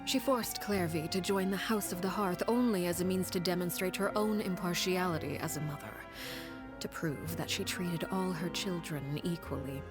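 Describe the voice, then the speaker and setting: An actress voicing a cold, composed woman in a game cutscene, recounting a grim past in a measured, grave half-whisper. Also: on the low side, music in the background, close to an everyday voice. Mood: grave, calm. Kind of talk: game story cutscene dialogue.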